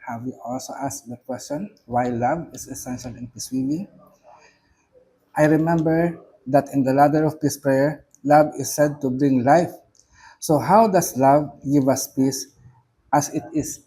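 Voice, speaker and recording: A middle-aged man from the Philippines with a Filipino accent, a reverend reading a spiritual reflection aloud, slowly and with emphasis on key words. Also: mid-range voice, mellow, breathy edge, rough recording. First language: not English. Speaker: reverend giving commentary.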